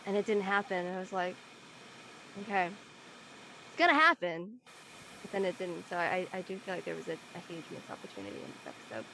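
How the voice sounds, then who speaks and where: A woman's voice: on the low side, expressive, brisk, vocal fry, pauses often, pushed and bright, poor audio. A woman from the United States giving her thoughts in a casual panel discussion. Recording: background noise and room ambience.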